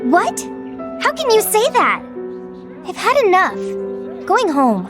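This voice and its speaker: A fiery young anime girl, high and lively. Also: slow pace, over music, heavily acted. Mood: indignant. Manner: earnest, indignant. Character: spirited young woman, loyal and hot-tempered. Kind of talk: anime game cutscene acting.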